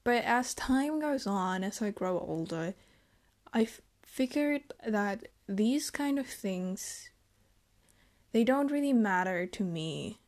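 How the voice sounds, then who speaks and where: A young woman from Germany, speaking in a reflective personal vlog, thoughtful and hesitant, with halting phrasing. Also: mid-range voice, darkish tone. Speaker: vlogger.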